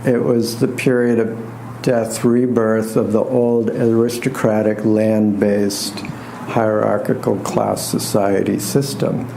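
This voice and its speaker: Male lecturer, soft voice, very unhurried and halting delivery, dry self-deprecating wit, live recording with faint background noise. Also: on the low side, flat delivery, creaky, low-quality recording. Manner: unhurried, halting with pauses and repeats; wry, self-deprecating humor. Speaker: astrologer and tarot reader giving a lecture. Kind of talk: informal spoken lecture to a live group.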